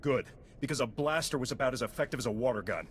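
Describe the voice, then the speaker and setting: A hard-bitten soldier of fortune voiced by a male actor in a sci-fi game. His voice sits at a middle pitch, blunt and grim, over faint noise. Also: lively intonation, fast, close to an everyday voice. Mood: grim warning. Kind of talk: video game character acting.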